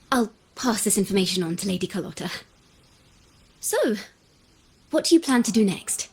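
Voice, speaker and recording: An actress playing a friendly, excitable young female musician in a video game, with a theatrical, playful delivery. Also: medium pitch, unhurried, husky. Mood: businesslike.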